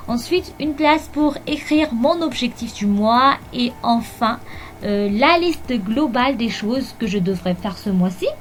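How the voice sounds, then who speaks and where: Woman, native Malagasy speaker, unhurried halting voice-over, light music underneath. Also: fairly high pitch, lively intonation. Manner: slow and hesitant, many fillers, soft laughs. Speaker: bullet journal vlogger. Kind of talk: voice-over narration of a plan with me. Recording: faint music behind voice-over.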